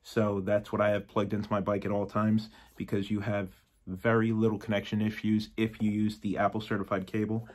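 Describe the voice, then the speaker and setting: A man's voice: on the low side, completely flat delivery, brisk, hardly any pauses, darkish tone. Matter-of-fact man demoing gear in a garage. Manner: plain-spoken, matter-of-fact, with frequent ums and uhs. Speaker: motorcycle audio shop owner. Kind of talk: casual product demo and review. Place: garage workshop with a motorcycle. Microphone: phone camera at arm's length.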